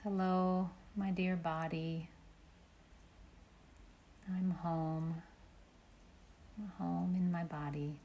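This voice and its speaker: Woman, low pitch, very slow pace, gentle meditation guidance, long pauses. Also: little pitch movement, a little hiss or hum. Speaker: meditation teacher. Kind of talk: guided meditation.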